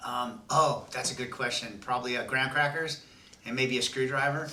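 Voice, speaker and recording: A man's voice: mid-range voice, fairly even intonation, a little fast, bright, forceful tone. A man from the cast chatting about his role, thoughtful and searching for words. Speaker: cast member. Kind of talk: casual chat. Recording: roomy room, distant mic.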